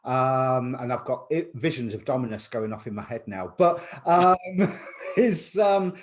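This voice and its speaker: A man's voice: fairly high pitch, darkish tone. A man hosting an interview, asking long, winding, reflective questions in a chatty way. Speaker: host. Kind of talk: conversational interview.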